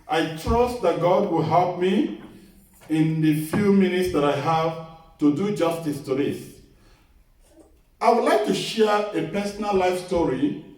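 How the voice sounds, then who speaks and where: A middle-aged man preaching a sermon in a small church, earnest and measured, repeating his key phrases as he tells a personal testimony. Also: fairly high pitch, dark tone. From Nigeria. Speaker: guest preacher. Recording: echoey hall, voice picked up from a distance.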